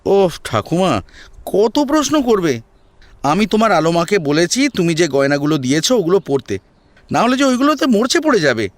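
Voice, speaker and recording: A man's voice: high voice, very animated, normal speed, no echo, pitched above the performer's own voice. A man playing an eager, teasing, hot-headed young grandson in an audio story, insistent and emotional. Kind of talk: Bengali audio story acting.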